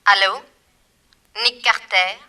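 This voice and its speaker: A woman's voice: medium pitch, steady tone, takes it slowly, pushed and bright. An actress playing a cruel, mocking female criminal mastermind in a 1960s French radio crime serial, with a taunting, sinister manner.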